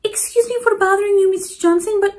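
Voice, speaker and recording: A woman's voice: very high voice, fairly even intonation, quick pace, darkish tone, voice pushed up. A woman voicing a kindly, polite grandmother in a children's storybook, courteous and eager in her delivery.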